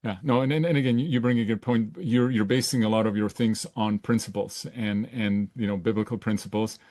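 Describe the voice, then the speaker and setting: A man's voice: lowish voice, steady tone, brisk, a little mellow, dry recording, studio quality. A man from Czechoslovakia hosting a casual interview, agreeable, often saying yeah and restarting. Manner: agreeable, frequent yeahs and restarts. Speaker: podcast host.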